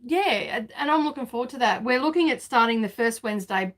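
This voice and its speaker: A chatty woman, talking to viewers from her sewing studio. Her voice sits a little high, she laughs often, and she fills gaps with easy "um"s and "so, yeah"s. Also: expressive, fast, a bit bright. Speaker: sewing vlog host. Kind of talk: casual live chat.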